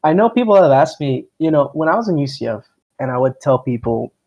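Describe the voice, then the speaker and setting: A man's voice: a little high, big pitch swings, brisk, darkish tone, slight background noise. A young man with a Miami accent, co-hosting a podcast in a loose, rambling, profane way. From Miami, United States. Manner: rambling, profane, self-deprecating. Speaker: podcast co-host. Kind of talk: casual chat.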